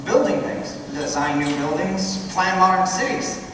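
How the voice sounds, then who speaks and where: An actor playing a restless young man, an eager dreamer who talks in an earnest, expressive way, in an amateur radio play. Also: a little slow, lightly acted. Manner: earnest, expressive, swinging pitch. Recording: echoey hall, distant mic, noisy.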